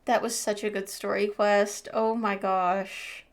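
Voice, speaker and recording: A woman's voice: mid-range voice, flat delivery, unhurried. A woman gaming streamer giving casual playthrough commentary and reading out dialogue choices.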